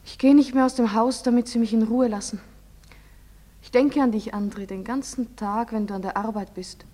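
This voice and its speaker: A woman's voice: on the high side, steady tone, normal speed, dark tone, in a large hall, lightly acted. An actress playing a devoted, pleading young woman in love in a stage drama, intimate and insistent. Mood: pleading, passionate. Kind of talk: stage-drama acting in German.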